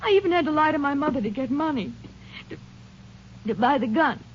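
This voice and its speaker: An actress playing a desperate, pleading young pregnant wife in a 1950s radio drama, heard through a dull vintage broadcast. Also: female voice, high voice, expressive, fairly quick, a little hiss or hum. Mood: distraught. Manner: pleading, distraught. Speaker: prisoner's wife.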